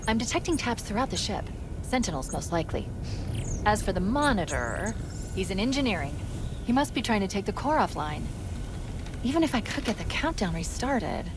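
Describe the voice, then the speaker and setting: A woman voicing a quick-witted, wry military AI companion in a video game, expressive and brisk. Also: medium pitch, music in the background, natural voice. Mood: analytical.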